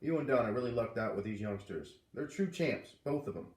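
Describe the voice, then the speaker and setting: A man voicing a gruff, proud old grandfather with a rumbly voice in a children's story. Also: lively intonation, fairly quick, darkish tone, echoey room, lightly acted.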